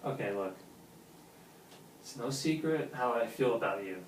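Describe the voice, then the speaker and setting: A man's voice: mid-range voice, lively intonation, unhurried, close to an everyday voice. An actor playing a lovesick, pushy man pleading earnestly with his best friend in naturalistic film dialogue. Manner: earnest, insistent. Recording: roomy, distant mic with faint noise.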